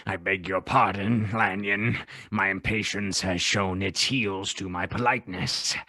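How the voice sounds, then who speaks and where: Gothic radio villain: impatient, murderous, theatrical, deep-set pitch, biting and deliberate. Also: male voice, steady tone, pushed and bright, no echo, studio quality, full character voice. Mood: impatient, urgent. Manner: menacing, theatrical, slow and deliberate. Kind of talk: gothic radio drama acting.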